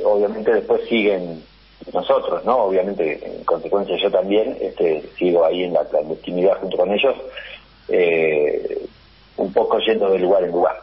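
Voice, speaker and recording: Husky Argentine man on a lo-fi line. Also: low-pitched. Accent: Argentine Spanish. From Argentina, Paraná, Entre Ríos. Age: 40s. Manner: hesitant, many fillers and repeated yeses. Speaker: guest. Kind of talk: phone interview, personal story. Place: at home. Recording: dull lo-fi remote line.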